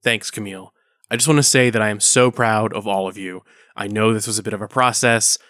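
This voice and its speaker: A man's voice: fairly low pitch, steady tone, a little fast, fairly bright tone, dry recording, studio quality, close to an everyday voice. A man playing a diplomatic, encouraging superhero theatre director in an audio drama. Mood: earnest, proud. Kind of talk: audio drama dialogue, speech to cast.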